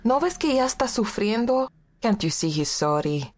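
A woman's voice: on the high side, big pitch swings, normal speed. A woman playing a motherly woman. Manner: switches into Spanish, tender scolding. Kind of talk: audio drama acting.

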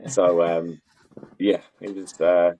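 A man's voice: sits low, flat delivery, takes it slowly, warm tone, rough recording. A man from North Wales talking casually and matter-of-factly as an interview guest, a sports coach. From Wrexham, North Wales. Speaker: guest, football strength and conditioning coach.

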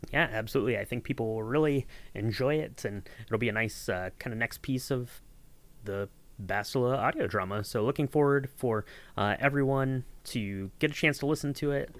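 A man hosts a casual podcast with an ordinary mid-pitched voice. He rambles amiably, softening his points as he goes and sounding appreciative of his guest and listeners. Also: normal speed. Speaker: podcast host and audio editor.

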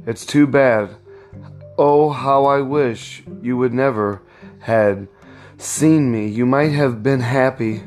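A man's voice: medium pitch, slow, a little mellow, faint music behind, natural voice. A man reading aloud and voicing a bitter, despairing young husband who speaks with anguish. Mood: sorrowful.

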